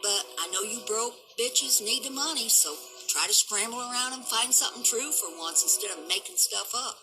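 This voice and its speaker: A woman in her seventies with a rough voice, ranting defiantly and boastfully at her critics in an unscripted vlog. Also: a little high, expressive, moderate pace, over music, rough recording. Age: 70s. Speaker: vlogger and dog breeder. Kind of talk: unscripted rant to camera.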